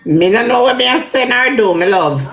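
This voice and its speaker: A woman's voice: a little high, expressive, brisk, a bit bright. A woman from Jamaica with a Jamaican accent, chatting casually and reading out viewer comments. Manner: laid-back, reads out viewer comments. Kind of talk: casual patois chat.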